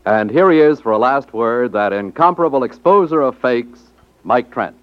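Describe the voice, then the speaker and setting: A man's voice: medium pitch, lively intonation, normal speed. A man announcing from a script in 1950s network radio style. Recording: dull 1950s broadcast with music behind. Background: faint music.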